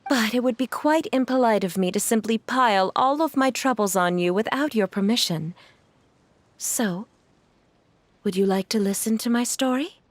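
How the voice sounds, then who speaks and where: A woman playing a gentle, genteel mother and employer in a video game, speaking politely and in measured phrases over music. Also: a little high, a little hiss or hum, close to an everyday voice. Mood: polite, thoughtful. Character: refined, gentle, courteous mother and wealthy employer.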